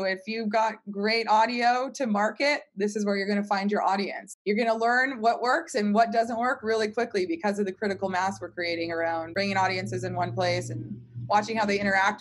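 A woman guest answering in a casual interview, explaining things in an emphatic, conversational way. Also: mid-range voice. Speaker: podcast guest, company team member.

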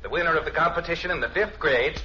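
An actor playing a formal, upbeat master of ceremonies at a school contest in an old radio comedy. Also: male voice, a little high, quick pace, over music, natural voice. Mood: formal, encouraging. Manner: formal, declamatory. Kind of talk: old-time radio acting, public address. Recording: dull, noisy old radio recording.

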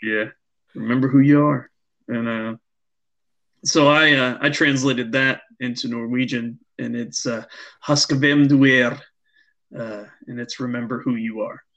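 A young man co-hosting a casual podcast chat, talking haltingly with many fillers and restarts. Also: medium pitch, steady tone, fairly bright tone, muffled recording. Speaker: podcast co-host.

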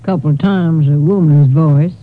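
An American actress playing a plain-spoken rooming-house landlady in a 1950s radio drama, folksy, frank and unhurried. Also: deep voice, lightly acted. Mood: matter-of-fact. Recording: thin, lo-fi 1950s radio recording.

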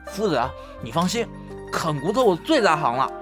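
A man playing a shy, playful, lovestruck young male fox spirit in a period Chinese audio drama, with an expressive, bashful, slightly whiny delivery. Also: on the high side, moderate pace, over music. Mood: eager, delighted. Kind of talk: ancient-China romance audio drama acting.

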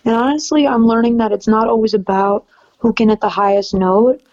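A young woman with a soft, raspy, low-leaning voice speaks in a relaxed, chatty way, full of "like" and "you know." Her tone is warm and mellow. The audio sounds a little muffled and dull. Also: a little fast. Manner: relaxed, chatty, many fillers. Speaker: singer-songwriter guest. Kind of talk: casual interview chat.

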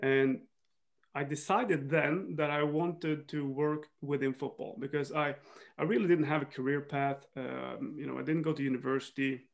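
Man, interview guest; gravelly, measured, searching for words. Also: on the high side, fairly even intonation. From northern Sweden. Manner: thoughtful, many restarts and fillers, laughs often. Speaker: guest, football coach. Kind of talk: reflective interview talk.

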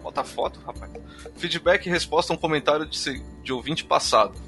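Man, Brazilian accent, bright forceful voice, brisk delivery; casual, irreverent podcast co-host, faint music behind. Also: a little high. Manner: irreverent, slangy. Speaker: podcast co-host reading listener feedback. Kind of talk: casual reading aloud and banter.

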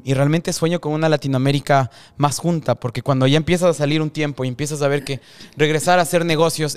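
A man's voice: a little high, clean tone. A man from Ecuador, hosting a podcast interview in a candid, talkative, reflective way. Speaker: podcast host. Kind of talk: casual interview chat.

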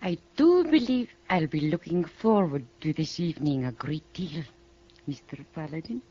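A woman's voice: low voice, lively intonation, moderate pace, mellow. An actress playing a coy, refined lady flirting in a 1950s radio western. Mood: coy, flirtatious. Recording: muffled old radio broadcast.

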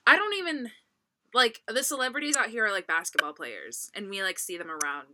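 A woman's voice: mid-range voice, lively intonation, fairly quick, background noise. A young woman from California with a Californian accent, hosting a casual chat over a video call, bubbly, laughing often and saying "like" a lot. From California, USA. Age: young adult. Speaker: podcast host.

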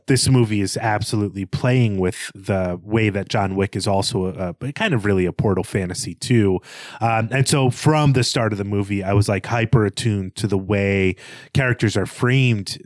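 Man, podcast co-host, slightly low voice, halting analytical film talk, thinking aloud, dry recording. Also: dry recording. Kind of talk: casual analytical film discussion.

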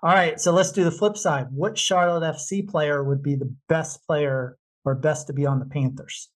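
A man's voice: a little high, steady tone, moderate pace. A man with an American accent interviewing a guest casually as podcast host, informal, agreeable and encouraging.

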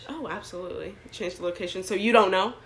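A woman's voice: medium pitch, quick pace, fairly bright tone. Giggly young woman co-hosting a podcast. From Ohio, US. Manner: playful, laughs often. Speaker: podcast co-host. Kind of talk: casual chat. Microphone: game controller, no microphone.

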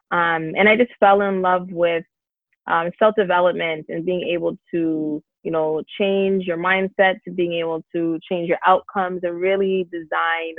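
A woman's voice: lowish voice, dry recording. A woman, a success coach, answering interview questions earnestly and upbeat, with lots of fillers. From Canada. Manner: earnest, upbeat, many "um" and "you know" fillers. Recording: tinny phone-like line.

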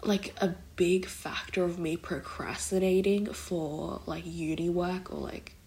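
A young woman talks through her own podcast in a loose, meandering way, with plenty of "like" and "kind of". Her voice is nasal and congested from a blocked nose, and it sits slightly low for a woman. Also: fairly even intonation, unhurried, a little mellow. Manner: rambling, heavy use of "like" and "kind of". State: recovering from illness, congested. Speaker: podcast host. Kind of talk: casual solo podcast chat.

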